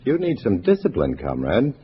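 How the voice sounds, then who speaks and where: An actor playing a terse, dry undercover agent posing as a communist in a 1950s radio drama. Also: male voice, fairly low pitch, huge pitch swings, moderate pace. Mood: cool, dismissive. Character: hard-boiled undercover male agent posing as a communist comrade. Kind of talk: 1950s radio drama acting and first-person narration. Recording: muffled vintage radio recording, faint hiss.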